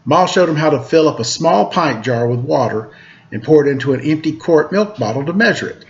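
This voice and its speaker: A man's voice: fairly high pitch, lively intonation, a little fast, muffled recording. A man reading a storybook aloud like a teacher, with casual asides.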